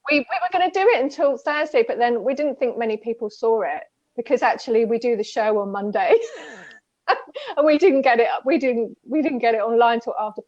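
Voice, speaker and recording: Chatty high-voiced woman, lo-fi stream. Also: fairly quick, a little mellow, no room sound. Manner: chatty, explanatory, many restarts. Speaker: co-host. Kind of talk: casual spiritual chat.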